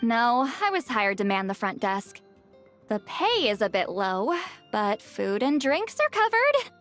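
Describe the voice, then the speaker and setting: A prickly, self-conscious game character in a high, very animated voice. She is huffy and dry, easily embarrassed, with music underneath. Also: measured pace. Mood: dry, casual.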